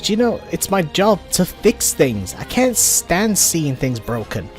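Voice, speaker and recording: A man's voice: on the high side, big pitch swings, a little slow, soft background music. A man reading game dialogue aloud as a flustered, embarrassed handy villager, fretful and pleading.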